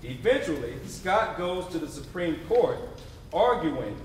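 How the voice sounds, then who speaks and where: A man narrating a scripted readers theatre piece in a deliberate, emphatic storytelling way. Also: a little high, very animated, a crowd in the background. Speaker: stage narrator. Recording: very echoey room, distant mic, responsive audience.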